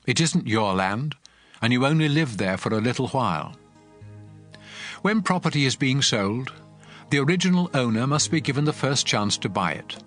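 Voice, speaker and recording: Guy acting as god in a bible audio drama, stern lawgiver, solemn and measured, loud music behind. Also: medium pitch, no room sound. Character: God of Israel, stern commanding male lawgiver. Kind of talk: dramatized scripture reading.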